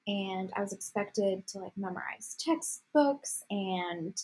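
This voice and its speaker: A woman's voice: medium pitch, expressive, slow, a little mellow, slight background noise, poor audio. A woman from Egypt chatting casually as a co-host, telling her story in an informal, tangential way. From Egypt, until about thirteen. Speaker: podcast co-host. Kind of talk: casual chat. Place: remote call.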